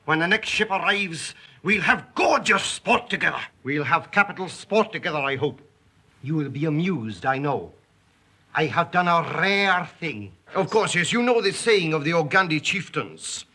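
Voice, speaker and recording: An actor playing a suave, sinister aristocratic count and hunter, speaking in a stately, theatrical 1930s film style. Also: male voice, fairly high pitch, animated, moderate pace, bright, forceful tone, breathy edge, heavily acted. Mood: gloating.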